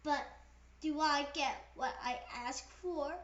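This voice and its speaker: Casting: woman as a jealous storybook pigeon, dramatic and whiny, very high, roomy sound. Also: steady tone, slow pace, fairly bright tone, slight background noise. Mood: whining, ranting. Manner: dramatic, indignant, whiny ranting. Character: excitable, jealous, pleading pigeon from a picture book. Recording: roomy echo, slightly dull sound.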